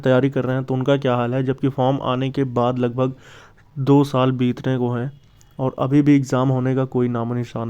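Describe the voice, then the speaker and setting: A man gives an informal update for exam aspirants. His voice is smooth and resonant, set at an average male pitch. He explains step by step and repeats the points that matter, over a slightly dull, lo-fi recording. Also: a little mellow. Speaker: exam-news YouTube host.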